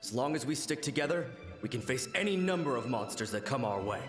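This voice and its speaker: A man's voice: medium pitch, animated, quick pace, lightly acted. A man playing a staunch, loyal samurai soldier in an anime game, formal and earnest. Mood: resolute, loyal. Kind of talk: dramatic anime game voice acting. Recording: echoing reverb with game music.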